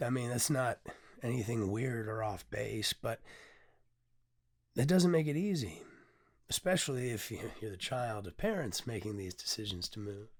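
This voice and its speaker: A hoarse man hosts a relaxed solo podcast, sounding tired and speaking in a loose, candid way. He pauses often on filler sounds and swears without a second thought. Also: medium pitch. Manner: candid, swears freely, frequent ums. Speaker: podcast host and poet. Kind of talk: casual solo podcast talk.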